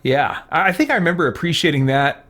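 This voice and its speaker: A man's voice: a little high, very animated, fast talker, background noise. A man streaming a video game, thinking aloud in casual commentary and chatting with viewers. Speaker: gaming streamer. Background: faint game music.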